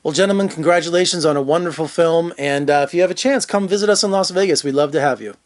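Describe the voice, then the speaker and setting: A film critic hosts in an upbeat, promotional way. His voice sits a little high for a man. He keeps the questions friendly. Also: fast talker. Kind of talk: interview host.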